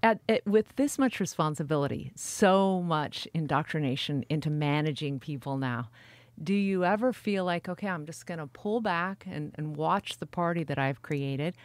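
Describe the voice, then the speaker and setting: Female interviewer, deep for a woman, dry close sound, conversational podcast hosting. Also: lively intonation, normal speed, dry recording.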